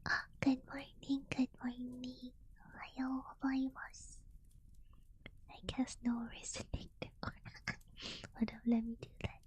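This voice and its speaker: A tired woman streamer, yawning as she chats softly with her viewers in a whispered ASMR stream. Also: sits high, flat delivery, slow pace, slightly rough. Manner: soft whispering, chatty with viewers.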